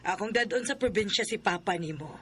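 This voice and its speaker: Woman acting a stern mother, very bright voice. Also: medium pitch, animated, fairly quick, slight background noise, natural voice. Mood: concerned. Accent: Cebuano. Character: stern, anxious village mother of several children. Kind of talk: radio drama acting.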